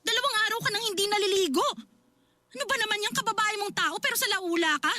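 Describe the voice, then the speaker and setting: An actress playing a worried, nagging older sister in a radio drama, scolding and insistent with plenty of emotion. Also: female voice, very high-pitched, fast talker, pushed and bright. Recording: music behind the voice.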